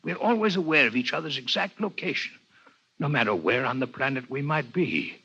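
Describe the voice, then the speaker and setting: An actor playing a courteous alien doctor in a 1950s radio drama, speaking formally and precisely. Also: male voice, medium pitch, animated, a little fast, vocal fry, pushed and bright, slightly rough, exaggerated voice. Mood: curious, polite. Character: courteous six-legged alien doctor visiting Earth, male. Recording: dull vintage 1950s radio recording.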